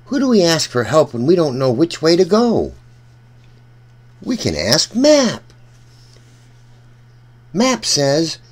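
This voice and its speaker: A man reading a storybook aloud for children in an animated, deliberate way, speaking directly to young listeners. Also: on the high side.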